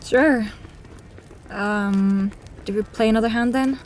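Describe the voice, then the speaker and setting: An actress playing a hesitant but quietly stubborn young female newcomer in a fantasy audio drama, speaking in short, curious replies. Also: fairly high pitch, steady tone, slow, a little hiss or hum. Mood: tentative, playful.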